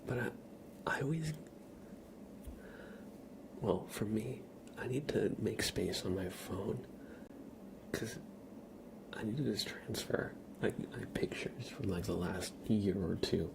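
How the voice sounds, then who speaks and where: A man streaming ASMR, chatting casually to viewers in a soft, breathy whisper with a slightly stuffy nose. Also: lowish voice, takes it slowly, long pauses, background noise. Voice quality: breathy whisper with a creaky edge. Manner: soft-spoken, rambling, casual.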